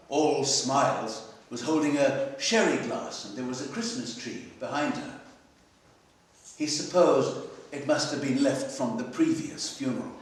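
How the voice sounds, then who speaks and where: A man's medium-pitched voice reads a short story aloud to a room, heard from a distance in a very echoey hall. His delivery is measured and expressive, with deliberate pauses between phrases. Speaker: story reader at a literary festival. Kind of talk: live dramatic reading of literary fiction. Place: library hall, live festival reading. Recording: very echoey hall, picked up from afar.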